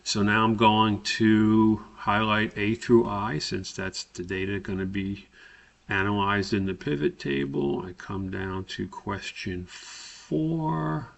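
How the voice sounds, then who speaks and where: A man with a low, creaky voice walks through a software tutorial step by step. He speaks informally and haltingly, thinking aloud at an unhurried, slow pace. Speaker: tutorial presenter. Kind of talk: informal software screencast walkthrough.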